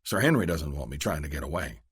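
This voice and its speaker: A man's voice: extremely low pitch, big pitch swings, fast talker, fairly bright tone, slightly rough, dry recording, studio quality, exaggerated voice. A man voicing a worldly, guarded Western gunman with an Ozarks accent and wry understatement. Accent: Missouri Ozarks.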